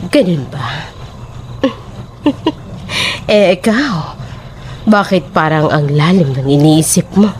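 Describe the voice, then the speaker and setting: A woman's voice: on the low side, expressive, a little mellow, a little hiss or hum. A woman playing a sly, taunting, sinister old neighbour in a horror audio drama, drawing out her words mockingly. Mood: sly, probing. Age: older. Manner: drawn-out, mocking, cackling between lines.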